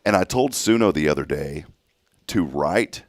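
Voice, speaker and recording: A man hosting a morning radio show in casual chat, sounding groggy and rough, rambling and restarting his sentences. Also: a bass voice, expressive, unhurried, dry recording, clean studio sound. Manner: rambling, self-interrupting, chuckling.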